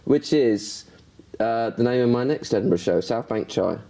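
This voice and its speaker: A man's voice: mid-range voice, expressive, normal speed, mellow. A man who is a comedian, chatting casually, rambling with many restarts and bursts of laughter. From Northern Ireland. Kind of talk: casual chat.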